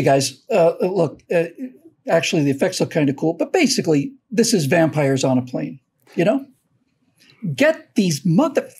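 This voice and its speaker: An older man hosting a casual commentary show over a video call, telling stories in an animated way with frequent restarts. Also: sits high, a little hiss or hum. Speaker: host.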